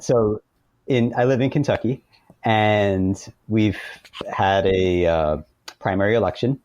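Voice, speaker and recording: A man with a low, mellow voice talks as a guest in an interview. He explains his points one by one in an informal, conversational way, and the sound is dry and close. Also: slow pace, no room sound, dull audio. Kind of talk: casual explanatory interview talk.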